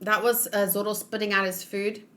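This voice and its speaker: A woman hosting a read-along in a casual, expressive and giggly reaction style. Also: medium pitch, moderate pace, a little hiss or hum. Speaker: host of a manga read-along.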